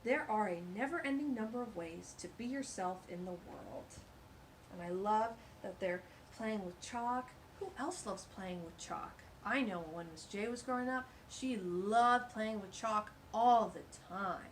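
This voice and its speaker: A woman's voice: medium pitch, a little slow, slight background noise, poor audio. A woman reading a picture book aloud to young children, with sing-song delivery and chatty asides about the pictures. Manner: warm, animated, sing-song storytelling with asides. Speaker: children's storytime reader.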